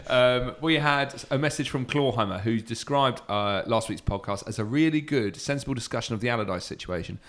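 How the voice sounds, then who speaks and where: A man's voice: mid-range voice, brisk, hardly any pauses, a bit bright. Man hosting a casual podcast. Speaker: podcast host. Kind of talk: casual chat.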